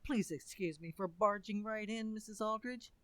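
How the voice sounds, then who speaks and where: Woman as a brisk, pushy housewife, dry sound. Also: mid-range voice, a bit bright, dry recording, lightly acted. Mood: insistent. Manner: insistent. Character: brisk, pushy middle-aged wife and mother. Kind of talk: old-time radio comedy acting.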